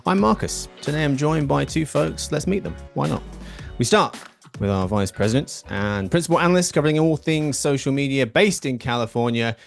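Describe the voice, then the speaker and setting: A man's voice: fairly high pitch, expressive, fairly quick, gravelly, faint music behind. A man hosting a podcast in casual chat, teasing his guests with playful banter. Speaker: podcast host.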